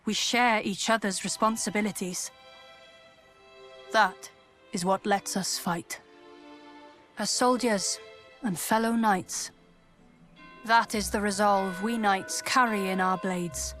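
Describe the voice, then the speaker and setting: An actress as a duty-bound female knight commander in a fantasy video game. She speaks in a formal, measured, solemn way at a middle pitch. Prominent music plays behind her. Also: natural voice. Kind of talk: video game cutscene acting. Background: loud background music.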